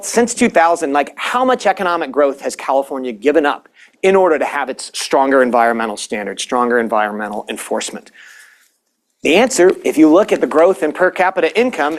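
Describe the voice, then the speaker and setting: A man speaking on a panel, laying out statistics in a steady argument with frequent small restarts. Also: medium pitch. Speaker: panelist presenting policy data. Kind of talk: panel talk.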